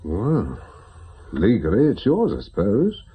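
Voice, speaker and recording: An actor playing a reluctant, reasonable investigator in a radio drama, English-accented, with a hesitant and conciliatory manner. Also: male voice, on the low side, wildly swooping pitch, slow pace, dark tone, slightly rough, exaggerated voice. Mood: tentative, reasoning. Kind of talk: naturalistic radio drama acting.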